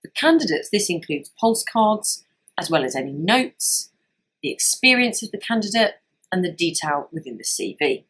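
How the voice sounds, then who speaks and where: A woman narrating a scripted software tutorial as a trainer, explaining things step by step in an instructional way. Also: fairly low pitch, sing-song, normal speed, slightly rough.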